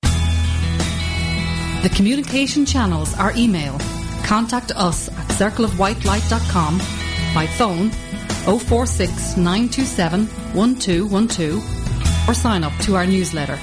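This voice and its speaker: A woman reading a scripted station announcement in a measured, even way. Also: mid-range voice. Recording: echoey over loud music bed.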